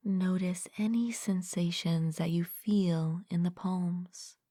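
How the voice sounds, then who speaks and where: Slow, soft, breathy woman guiding meditation. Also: lowish voice, no echo, studio quality. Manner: gentle, calm, unhurried with long pauses. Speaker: meditation guide, mindfulness coach. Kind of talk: guided meditation, soothing scripted narration.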